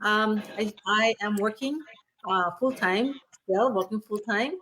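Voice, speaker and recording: A woman with a Filipino accent, a podcast guest introducing herself in a casual, halting way. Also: mid-range voice, a bit bright, a little hiss or hum. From Philippines.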